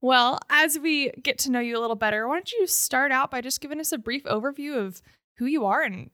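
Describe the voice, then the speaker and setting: A woman's voice: high voice, brisk, pushed and bright, no room sound, studio quality. A young woman in her twenties hosting a podcast, asking questions in an upbeat, encouraging way. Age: 20s. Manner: upbeat, encouraging, laughs along. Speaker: podcast host. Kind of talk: friendly podcast interviewing.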